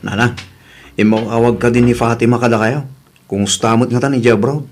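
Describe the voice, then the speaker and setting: Worried husband, mid-pitched man acting. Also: fairly even intonation, a little fast, darkish tone, a little hiss or hum, natural voice. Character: worried husband of an overseas worker, polite son. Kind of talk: Ilocano radio drama acting.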